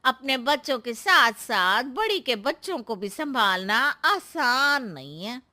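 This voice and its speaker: A woman voicing a meddling middle-aged aunt in a family story, sly and sulky, with taunting, drawn-out sympathy. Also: on the high side, big pitch swings, sharp tone, heavily acted. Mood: sly flattering. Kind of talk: Hindi moral story voice acting.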